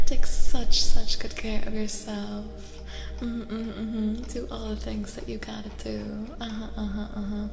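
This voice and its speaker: A woman streaming soft-spoken tarot readings in a gentle, soothing ASMR manner, repeating phrases softly and sometimes dropping toward a whisper. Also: medium pitch, gravelly. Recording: reverberant echo, music behind the voice. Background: music.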